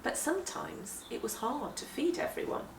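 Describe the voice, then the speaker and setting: A woman reading a children's picture book aloud, with deliberate, animated and emphatic storytelling. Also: sits high, noisy background. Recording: roomy echo, distant microphone.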